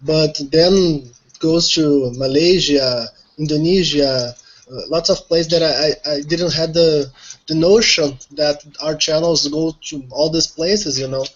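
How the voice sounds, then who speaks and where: A man from Brazil speaks English with a Brazilian Portuguese accent, Portuguese being his first language, and he pauses often to find his words. His voice is somewhat high. The call audio is dull and lo-fi. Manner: halting, searching for words. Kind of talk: casual group chat.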